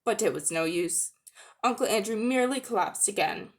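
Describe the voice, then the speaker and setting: A woman reading a children's story aloud in an expressive, dramatic narrating style, with the odd stumble. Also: mid-range voice, moderate pace. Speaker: amateur audiobook reader.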